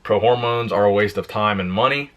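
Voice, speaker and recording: A young man on a fitness channel, casually answering viewer questions in a plain, relaxed way. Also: fairly low pitch, a little fast. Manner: relaxed, plain-spoken, reads questions aloud then answers. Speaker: fitness YouTuber. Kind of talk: casual Q and A vlog.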